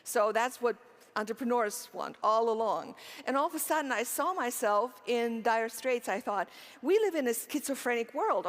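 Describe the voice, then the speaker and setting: A middle-aged woman from Romania speaks from a conference stage, her voice sitting a little high. She poses rhetorical questions to the audience and answers them, with fillers and restarts along the way. A long reverb from the large hall hangs after her words. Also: a bit bright. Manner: earnest, with frequent fillers and restarts. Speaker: keynote speaker. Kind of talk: conference keynote talk.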